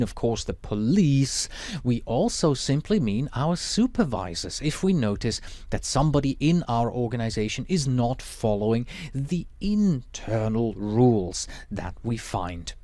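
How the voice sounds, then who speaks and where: A man whose first language is Swiss German, teaching a lecture in a measured, explanatory way with Swiss German accent. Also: mid-range voice, darkish tone, dry recording. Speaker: project management instructor.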